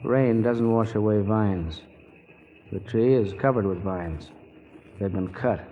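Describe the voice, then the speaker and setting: An actor playing a weary, blunt detective in 1940s radio drama, talking in terse, hard-boiled slang. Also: male voice, on the low side, takes it slowly. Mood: hard, accusing. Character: weary, blunt, dogged male detective on a manhunt. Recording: dull, noisy 1940s radio recording.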